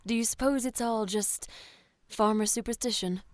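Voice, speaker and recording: An actress playing a proud, sharp-tongued woman of colonial New England in a period audio drama, with formal, ornate phrasing. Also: fairly high pitch, normal speed, no echo, close to an everyday voice. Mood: quiet, brooding. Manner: formal, theatrical, ornate old-fashioned phrasing.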